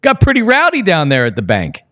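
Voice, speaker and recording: A man's voice: on the high side, huge pitch swings, a little fast, bright, forceful tone. A man hosting a casual sports talk show, conversational and opinionated as he interviews a guest.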